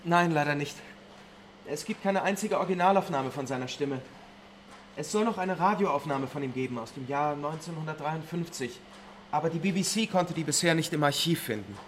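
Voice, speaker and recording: An actor playing a courteous, helpful museum attendant in a radio drama, speaking in a polite conversational way. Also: male voice, a little high, a little fast, a little hiss or hum, close to an everyday voice. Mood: courteous, informative.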